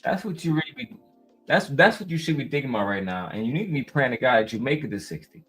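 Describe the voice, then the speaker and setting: A man's voice: mid-range voice, very animated, fast talker, fairly bright tone, faint music behind. A man co-hosting a podcast, blunt and joking, talking in slang and swearing freely. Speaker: podcast co-host. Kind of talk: casual banter.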